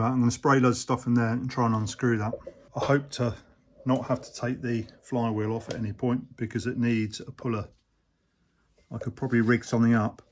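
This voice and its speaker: Relaxed man talking through a repair. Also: medium pitch, a little hiss or hum. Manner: relaxed, explanatory, many fillers. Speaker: motorcycle restorer, channel host. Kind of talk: casual workshop talk-through. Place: workshop or shed.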